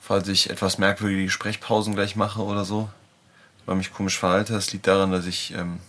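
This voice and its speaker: A man recording a halting voice diary while in pain, sounding subdued and lonely. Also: low-pitched, flat delivery, a bit bright. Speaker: audio diary speaker. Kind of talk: confessional voice diary.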